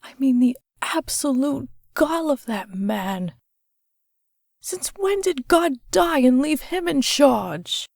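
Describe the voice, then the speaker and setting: A woman's voice: sits high, animated, slow, creaky, a little mellow, no echo, studio quality, full character voice. A woman playing an indignant, scatterbrained citizen, theatrical and rambling as she complains, in a comic book dub. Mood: fussy indignation.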